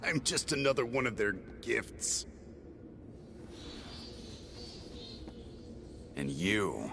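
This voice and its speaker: A man's voice: lowish voice, big pitch swings, unhurried, vocal fry, noisy background, full character voice. A man playing a brooding, driven warrior in a video game cutscene, speaking tersely and dramatically. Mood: bitter. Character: brooding, driven adult male warrior hunting chaos.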